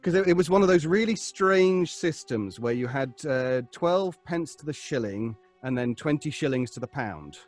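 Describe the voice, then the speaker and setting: A man's voice: a little high, expressive, normal speed, hardly any pauses, soft background music. A man with a British accent, a performer, chatting casually as he explains things on a live show.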